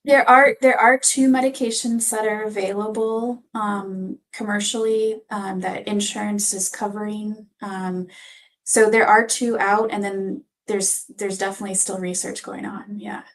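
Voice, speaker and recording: Female clinician guest, mid-pitched and creaky, measured and careful in explaining. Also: level tone, rough recording. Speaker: physician assistant guest. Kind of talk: explanatory interview answers.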